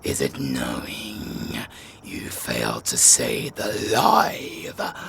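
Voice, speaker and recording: A man playing a sadistic, theatrical vampire in an audio roleplay, drawing out menacing taunts that swing between whispers and shouts. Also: very deep voice, big pitch swings, slow pace, gravelly, slight background noise, full character voice. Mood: sadistic taunting. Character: sadistic, theatrical male vampire stalking prey. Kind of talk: cinematic audio roleplay acting.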